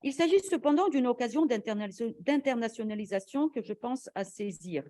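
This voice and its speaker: A woman academic giving a formal conference lecture in a measured, argumentative way, as an invited speaker. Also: on the high side, expressive, dark tone, no echo.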